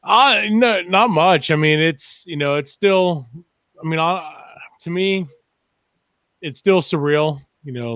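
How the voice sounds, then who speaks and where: A Midwestern man musing as a guest on a fan podcast, mid-pitched and plain-voiced. He stops and starts, leaning on fillers as he reflects. The sound is dry and close-miked. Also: a bit bright, no room sound. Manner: halting, filler-heavy, reflective. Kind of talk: casual chat.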